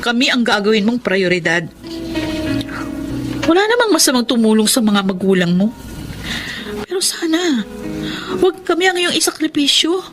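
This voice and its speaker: A woman's voice: fairly high pitch, big pitch swings, moderate pace, long pauses. An actress playing an outspoken, emphatic young wife and mother in a Filipino radio drama. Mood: firm, pleading. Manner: emphatic, assertive. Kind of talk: Filipino radio drama acting and first-person narration. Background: music behind the voice.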